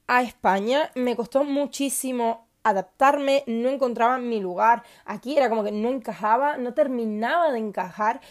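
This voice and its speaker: A woman from Cuba hosting her own podcast, telling her personal story in a confiding, enthusiastic way with many pauses and fillers. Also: fairly high pitch, a little mellow. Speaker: podcast host.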